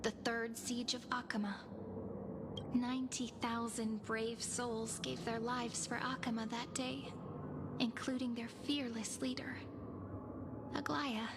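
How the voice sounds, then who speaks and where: A woman playing an earnest, gentle holy maiden in a video game, speaking softly and solemnly like a grave chronicler. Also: a little high, flat delivery, measured pace, very noisy. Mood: grave, tender. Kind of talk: dramatic video game narration and acting. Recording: voice under loud game music.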